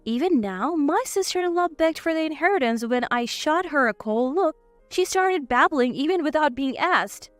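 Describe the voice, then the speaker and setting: A woman's voice: high voice, lively intonation, normal speed, no room sound. A woman reading a scripted, first-person dramatic story as its narrator. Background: faint background music.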